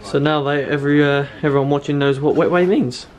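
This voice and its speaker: A man's voice: fairly high pitch, fairly even intonation, brisk, a crowd in the background. A man bantering casually as a friend helping film in a garage. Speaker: friend behind the camera.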